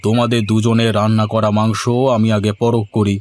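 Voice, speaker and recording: A man voicing a commanding village headman in a moral-story cartoon, speaking deliberately and with authority. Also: lowish voice, monotone, darkish tone, natural voice. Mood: delighted. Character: authoritative village headman who loves good food.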